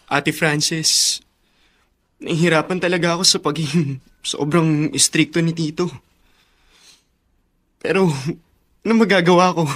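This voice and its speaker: A man's voice: high voice, fairly bright tone. A man with a Filipino accent playing a meek, obedient young orphan in a radio drama, earnest and deferential.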